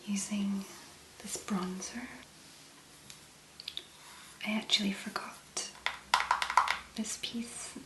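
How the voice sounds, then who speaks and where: A woman speaking softly and breathily in an ASMR make-up tutorial, gently musing in a hesitant, unhurried way. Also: medium pitch, fairly even intonation, echoey room, rough recording. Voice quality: soft, breathy, mostly whispered. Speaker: ASMR content creator, make-up demonstrator.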